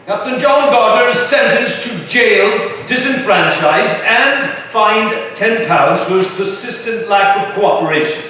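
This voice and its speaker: A formal colonial chronicler, read by a man delivers a scripted historical account in a high voice. The sound is distant, with long hall echo and faint noise. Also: animated, unhurried, few pauses, sharp tone, heavily acted. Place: live stage in a reverberant hall.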